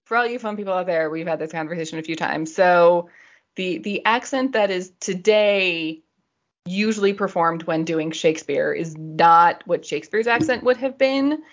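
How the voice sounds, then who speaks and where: A woman's voice: on the low side, moderate pace, muffled recording. A woman podcast host chatting casually as a nerdy, giggly explainer who wanders off on digressions.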